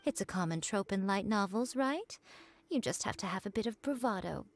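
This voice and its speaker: A woman's voice: medium pitch, expressive, moderate pace, lightly acted. Woman playing a sly, teasing shrine priestess. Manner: wry, languid, playfully condescending. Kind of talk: video game voice acting. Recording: background music under the voice.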